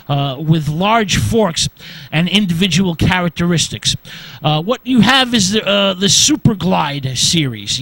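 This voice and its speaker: A man giving an informal history lecture from notes as a radio host, halting often with uh between short phrases. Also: high-pitched, a bit bright, no echo.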